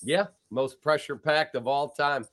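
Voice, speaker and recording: A man hosts a sports talk show in an upbeat, chatty way. His voice is bright and a little tense, and it sits somewhat high for a man. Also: steady tone, normal speed. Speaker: podcast host.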